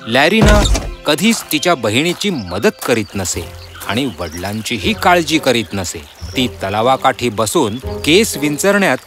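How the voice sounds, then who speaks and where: A man's voice: medium pitch, very animated, measured pace, music in the background. A man narrating a children's fairy tale in a scripted storybook style.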